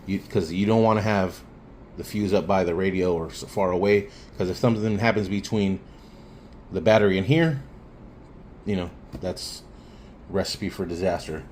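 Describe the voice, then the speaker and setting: A man's voice: low-pitched, normal speed, a little mellow, a little hiss or hum. A man talking through a hands-on tutorial in an informal, plainspoken way, thinking aloud as he explains. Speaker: hobbyist installer giving a how-to. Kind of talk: casual hands-on tutorial talk.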